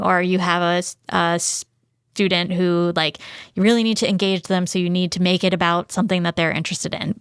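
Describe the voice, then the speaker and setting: Lowish-voiced woman explaining on a podcast. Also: fairly bright tone, clear, resonant tone, no room sound, clean studio sound. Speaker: podcast co-host. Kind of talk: conversational explanatory discussion.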